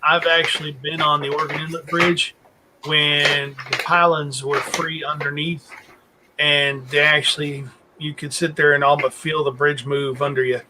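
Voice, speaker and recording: A man's voice: on the high side, flat delivery, moderate pace. A man, rambling through stories in a casual chat. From North Carolina. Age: 40s. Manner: rambling, storytelling with restarts. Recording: noisy background.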